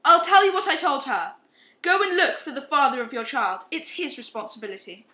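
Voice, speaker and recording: A haughty, middle-aged society matron acted by a woman in an amateur radio play. The voice is high and bright in an echoey room, imperious, self-righteous and quick to defend herself. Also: animated. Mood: haughty, defiant. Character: haughty, unrepentant middle-aged upper-class charity matron. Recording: roomy, echoing amateur recording.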